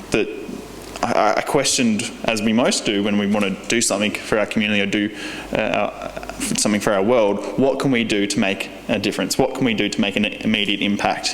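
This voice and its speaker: A man's voice: on the low side, fairly bright tone, slight background noise. Young Australian man in an echoey hall. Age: young adult. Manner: earnest, halting, many restarts and fillers. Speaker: keynote speaker. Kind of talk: conference keynote speech. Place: large convention hall.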